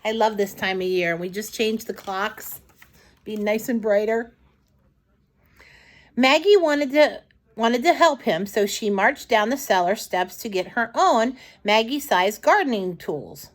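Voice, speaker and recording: A woman reading a story aloud to young children in an expressive, dramatic storybook manner, with asides to her listeners. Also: mid-range voice, measured pace.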